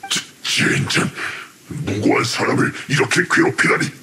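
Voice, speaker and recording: A man's voice: normal speed, sharp tone, a little hiss or hum, lightly acted. An actor playing a hapless, flustered henchman in an anime-style game, whiny, defensive and protesting. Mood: aggrieved.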